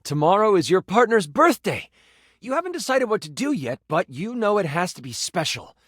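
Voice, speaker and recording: A man's voice: high voice, moderate pace, dry recording, studio quality, full character voice. A man playing an eager game master who narrates a fantasy tabletop adventure with dramatic expression in a video game. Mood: dramatic storytelling. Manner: dramatic, expressive, with aside remarks. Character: male tabletop game master, personified D20, eager theatrical storyteller.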